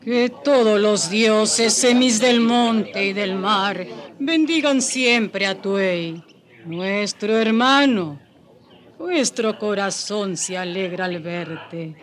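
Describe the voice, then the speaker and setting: An actor in a radio drama playing a kindly, solemn Taíno village elder, measured and ceremonial, with hesitant interjections. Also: male voice, sits high, crowd noise. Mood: solemn blessing.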